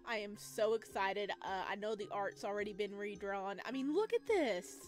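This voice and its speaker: A woman's voice: mid-range voice, animated, moderate pace. A woman hosting a gaming video, giving gushing, playful let's play commentary. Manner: gushing, exclamatory, playful cutesy slang. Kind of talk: excited let's play commentary with read-aloud game text. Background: music.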